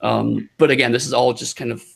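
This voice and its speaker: A man with a gravelly edge to his voice chats as a podcast guest. He rambles and halts, circling back over his words with plenty of fillers, and his pitch sits in the middle range. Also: level tone, fairly bright tone. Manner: halting, rambling, many restarts and fillers. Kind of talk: casual chat.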